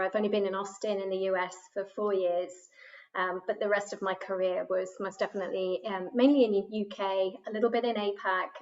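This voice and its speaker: A woman panelist introducing herself in an online panel, speaking in a measured way with frequent pauses. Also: medium pitch, muffled recording. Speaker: panelist, HR executive.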